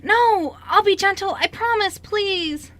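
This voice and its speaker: A tiny, piercing, bright child's voice. The little orphan girl is scared and apologetic, pleading earnestly in a radio play. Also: very high voice, slow, heavily acted. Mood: tearful pleading. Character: pleading five-year-old orphan girl, scared and sorry. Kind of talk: amateur radio play acting.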